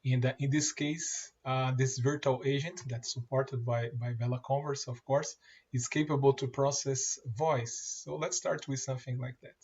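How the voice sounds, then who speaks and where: Man, native Portuguese speaker, software demo, husky, hesitant with fillers, dull lo-fi mic. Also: medium pitch, fairly even intonation. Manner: halting, many fillers and restarts. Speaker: software presenter. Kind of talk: screen-share product demo.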